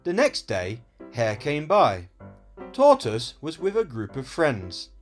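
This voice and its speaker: A man reading a children's story aloud as host and storyteller, in an expressive, explanatory way. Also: mid-range voice, takes it slowly, soft background music.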